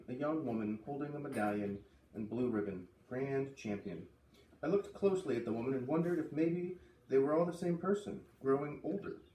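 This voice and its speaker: A man narrates a children's book as a read-aloud host. His middle-pitched voice sits slightly away from the mic. The room is echoey, with faint background noise. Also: darkish tone, distant mic.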